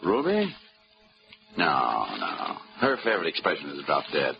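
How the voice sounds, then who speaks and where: A man's voice: a bass voice, huge pitch swings, measured pace, creaky, background noise, exaggerated voice. A man playing a breezy, wisecracking insurance investigator in a 1940s radio drama. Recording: dull old radio recording with music.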